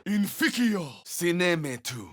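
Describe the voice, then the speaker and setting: Commanding game wizard, slow dramatic incantations, voice swoops all over. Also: male voice, high-pitched, very animated, fairly bright tone, full character voice. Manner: declamatory, dramatic. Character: spellcasting adventurer, man, intense, commanding. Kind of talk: video game spell incantations.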